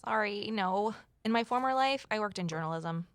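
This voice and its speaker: A woman's voice: medium pitch, lively intonation, normal speed, dry recording, lightly acted. An actress playing a determined, curious woman investigator in a scripted mystery audio drama, with a wry, conversational manner. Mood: wry, friendly. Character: determined, curious ex-journalist woman, defiant amateur sleuth. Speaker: narrator and lead character.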